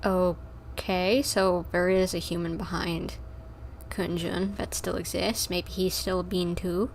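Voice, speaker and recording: A woman's voice: on the low side, level tone, takes it slowly, vocal fry. A woman livestreaming a game, giving casual, playful, chatty commentary as it plays. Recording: livestream mic with faint background noise.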